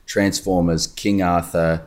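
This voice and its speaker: A man's voice: deep voice, level tone. A man from Australia, an actor, chatting casually and eagerly telling stories about his work. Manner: eager storyteller, lists credits quickly. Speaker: actor guest. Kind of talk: casual chat.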